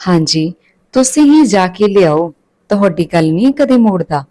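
A woman voicing a gentle but firm peacemaking village mother in a narrated family story. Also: on the low side, animated, normal speed, lightly acted. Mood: gentle urging.

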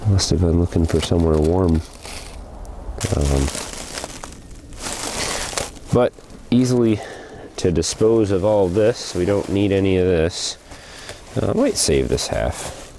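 Very deep-voiced man musing while he works. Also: background noise. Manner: relaxed, thinking aloud with small pauses. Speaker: hobby beekeeper and channel host. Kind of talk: casual narration while working. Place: outdoors beside a wooden beehive.

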